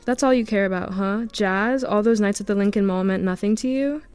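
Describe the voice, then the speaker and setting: A woman's voice: mid-range voice, brisk, a little mellow, clean tone, soft background music, lightly acted. A young woman playing a wealthy, entitled student girlfriend in an amateur radio drama, with a pushy, hurt manner. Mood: hurt, angry.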